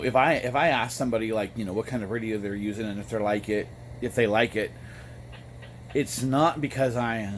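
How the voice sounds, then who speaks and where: An American man talking in a casual, rambling monologue, with a halting delivery that often pauses mid-thought. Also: lowish voice. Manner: halting, frequent throat clearing between phrases. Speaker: amateur radio vlogger. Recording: noticeable background noise.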